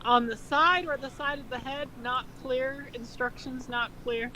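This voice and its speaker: A woman's voice: high-pitched, fairly even intonation, measured pace, sharp tone, a little hiss or hum. A woman reading fan fiction aloud in a mock dramatic style, breaking off into casual, incredulous commentary with some swearing. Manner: incredulous, mocking, swears freely.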